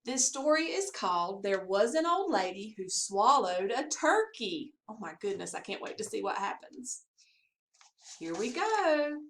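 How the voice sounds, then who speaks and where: A woman reading a picture book aloud to young children in an expressive, sing-song storytelling manner. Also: medium pitch, a little slow, slight background noise. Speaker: assistant principal reading to pupils.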